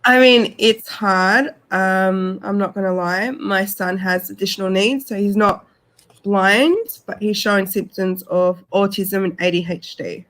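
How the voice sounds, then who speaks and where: A woman's voice: medium pitch, fairly even intonation, measured pace, a bit bright. A woman with an Australian accent, chatting casually as a panel guest and telling her own story.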